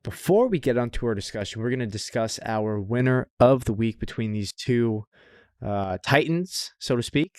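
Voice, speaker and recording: A man's voice: medium pitch, expressive, normal speed, darkish tone, dry recording, studio quality. A man co-hosting a podcast, chatting casually and enthusiastically with plenty of slang and some swearing. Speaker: podcast co-host. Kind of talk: casual podcast chat about anime.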